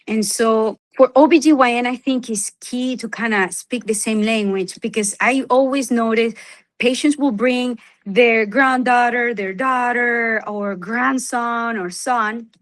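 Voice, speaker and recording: A woman's voice: fairly high pitch, unhurried. A middle-aged woman from Venezuela, a native Spanish speaker, answering interview questions as a physician, with many fillers and restarts. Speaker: OB/Gyn physician, guest. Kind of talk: conversational interview.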